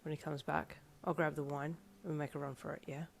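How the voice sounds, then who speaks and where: Woman doing a low, gruff, cocky young man. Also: flat delivery, a little fast, slight background noise. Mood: smirking, gruff. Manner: low, casual, gruff muttering.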